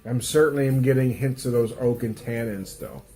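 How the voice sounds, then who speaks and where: A man's voice: mid-range voice, normal speed, dark tone, faint music behind. A middle-aged man with a stuffy nose from a cold, rambling casually through a home beer tasting review and chuckling often. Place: home room with music playing.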